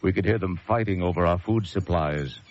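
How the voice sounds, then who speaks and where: A man's voice: low voice, brisk, close to an everyday voice. An actor playing a tense, desperate lighthouse keeper who narrates his ordeal in dramatic, urgent old-time radio thriller style. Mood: exhausted dread. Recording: dull vintage radio recording, loud music.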